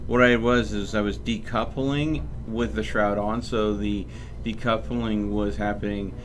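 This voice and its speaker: A man's voice: on the low side, steady tone, a little slow, background noise. A man giving casual live-stream gameplay commentary, muttering his thoughts aloud in a self-deprecating way. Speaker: gaming streamer.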